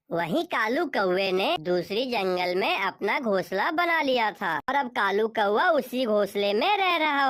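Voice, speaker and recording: A woman's voice: medium pitch, fairly bright tone. A woman narrating a scripted children's cartoon story as a storyteller.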